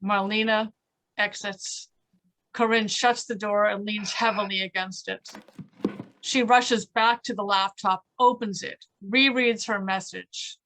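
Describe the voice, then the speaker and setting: A woman's voice: mid-range voice, steady tone, unhurried, bright, forceful tone, low-quality recording. A woman reading stage directions as scripted narration for a play.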